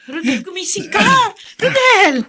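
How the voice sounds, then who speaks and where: A Filipino woman acting a worried, gently scolding companion in a radio drama. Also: very high voice, sing-song, a little slow, full character voice. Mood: alarmed, concerned. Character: concerned, gently chiding woman comforting a man.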